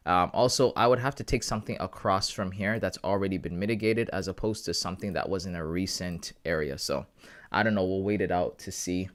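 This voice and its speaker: A man in his thirties chatting casually with viewers on a live trading stream, slangy and laughing often. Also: on the low side. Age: 30s. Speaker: livestreaming forex trader.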